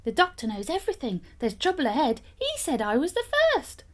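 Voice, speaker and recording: A woman's voice: high voice, big pitch swings, fairly quick, voice pushed up. A woman voicing a frightened, guilty manservant in a fairytale, exclaiming in alarm. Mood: scared.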